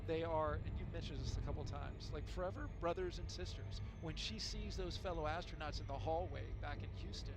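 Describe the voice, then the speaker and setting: Higher-voiced man hosting live coverage. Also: brisk, few pauses, poor audio. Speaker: broadcast co-host. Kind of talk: live launch coverage presenting.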